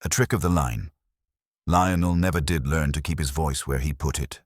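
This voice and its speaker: A man's voice: a bass voice, normal speed, no room sound, clean studio sound. Man voicing a coolly composed widow. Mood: cool, dismissive.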